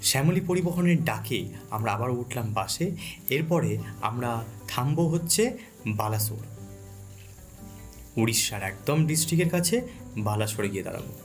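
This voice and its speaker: Fluent man narrating a trip. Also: mid-range voice. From West Bengal, India. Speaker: travel vlogger. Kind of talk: voice-over travel narration.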